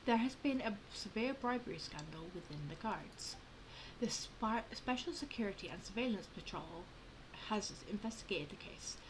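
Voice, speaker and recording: A woman with a medium-pitched voice plays a game and comments on it casually. She is informal and halting when she reads aloud. There is clear noise in the background. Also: expressive. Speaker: gamer, channel host.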